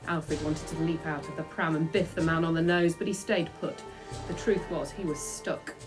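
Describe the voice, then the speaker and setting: A woman reading a children's book aloud in an expressive, dramatic storytelling style. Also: deep voice, normal speed, music in the background. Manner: animated, dramatic. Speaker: storybook reader. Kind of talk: expressive children's audiobook narration.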